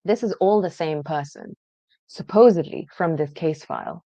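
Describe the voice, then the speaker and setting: Mellow-voiced woman demoing a database. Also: deep voice, no room sound, dull audio. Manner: explanatory, conversational, frequent "um" pauses. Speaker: genealogy presenter. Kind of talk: screen-share database walkthrough.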